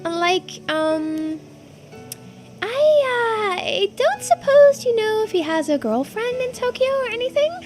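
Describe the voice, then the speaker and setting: A woman voicing an excitable teenage girl diver in a video game, blurting things out casually. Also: very high-pitched, slow, pitched above the performer's own voice, heavily acted. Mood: nervous. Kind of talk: video game character voice-over. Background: music.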